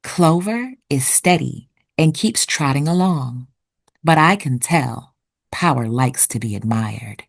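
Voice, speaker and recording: A woman reading a children's picture book aloud expressively, with deliberate storytelling pauses. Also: a bass voice, expressive, gravelly. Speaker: children's read-aloud narrator.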